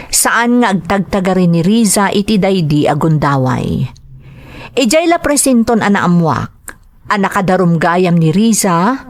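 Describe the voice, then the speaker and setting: An actress playing a secretive, evasive working wife in an Ilocano family audio drama, insistent in manner. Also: female voice, fairly low pitch. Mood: remorseful, confessing.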